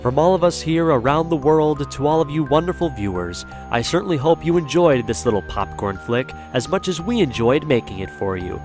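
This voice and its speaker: A man's voice: on the high side, animated, fairly quick, few pauses, music in the background. A man narrating an audio drama in a theatrical, playful and slightly grandiose storytelling style.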